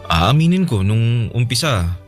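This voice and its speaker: A man's voice: mid-range voice, very animated, unhurried, faint music behind. A man playing a sulky, lovelorn young man in a radio drama, earnest and with a Filipino accent. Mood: earnest confession.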